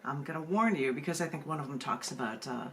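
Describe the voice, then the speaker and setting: A woman with a fairly low voice speaks as a poet hosting an open mic. She shifts between informal introduction and reading narrative verse aloud. There is some faint room noise on the recording. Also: animated, quick pace. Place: video call open mic. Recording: noisy room sound.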